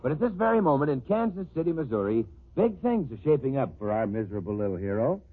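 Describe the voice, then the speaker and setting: Animated American male radio announcer. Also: mid-range voice, quick pace, slightly rough. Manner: mock-dramatic storytelling. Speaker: announcer and narrator. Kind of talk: 1940s radio scripted comedy narration. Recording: tinny, noisy old radio recording.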